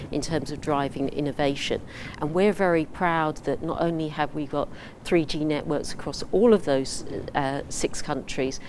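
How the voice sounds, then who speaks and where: Woman exec on a panel, really low voice, crisp and confident, faint event hum. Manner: crisp, confident business talk. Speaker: telecom executive. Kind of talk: panel interview answers.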